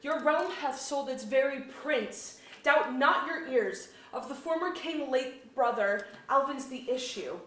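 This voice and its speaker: High-voiced, deliberate fairy maiden played by an actress. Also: female voice, lively intonation, a bit bright. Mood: proud, urgent. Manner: declamatory archaic stage diction. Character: young lovestruck female fairy sprite, proud and hasty. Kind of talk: staged reading of a mock-Shakespearean verse play. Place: museum auditorium staged reading. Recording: echoey auditorium from far off, noisy.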